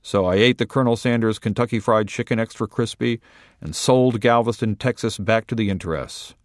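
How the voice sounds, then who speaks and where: A man reading a short story aloud in a wry, deadpan first-person voice. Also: fairly low pitch, brisk, dry recording, studio quality. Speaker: reader.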